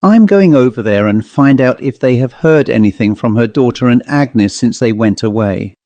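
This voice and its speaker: A man's voice: mid-range voice, moderate pace, dark tone, no room sound, natural voice. A man voicing an anxious, insistent woman in a dramatised storybook reading, with urgent, emphatic questioning. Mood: uneasy, determined. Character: anxious, insistent woman searching for her missing niece.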